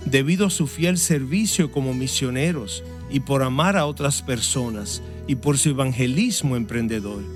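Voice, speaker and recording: A man who is a pastor, giving a sermon and answering interview questions in an earnest, measured way. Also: medium pitch. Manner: earnest, measured preaching delivery.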